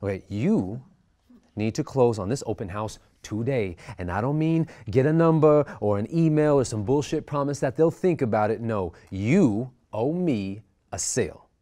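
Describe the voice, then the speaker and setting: A crude, domineering boss realtor read by a man at a table reading hurls insults and orders at mid pitch. He barks and lectures with a bullying edge. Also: expressive, normal speed, dark tone, close to an everyday voice. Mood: menacing. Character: abrasive foul-mouthed male boss realtor. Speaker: actor in a script table read.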